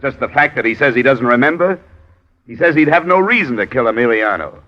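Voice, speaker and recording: An actor playing a tough, persistent American insurance investigator, clipped and matter-of-fact, in an old radio detective drama. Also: male voice, mid-range voice, lively intonation, a little fast, close to an everyday voice. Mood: skeptical, pressing. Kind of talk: old-time radio detective acting and narration. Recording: dull vintage radio recording, background music.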